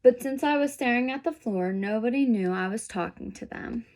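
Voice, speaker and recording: A woman's voice: fairly high pitch, normal speed, darkish tone, natural voice. A woman voicing a sensitive, misunderstood young boy zebra in a picture book, plaintive and earnest. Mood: earnest, troubled.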